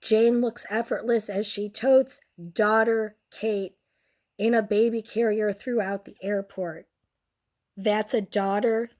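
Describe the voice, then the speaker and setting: A young woman talks through a bad cold, her voice close to gone. She speaks slowly and draws out her words. Her commentary is sardonic and crude. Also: medium pitch, level tone, a little mellow. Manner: crude, sardonic, slow and drawn out. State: sick, nearly lost voice. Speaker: host. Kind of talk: casual commentary on photos.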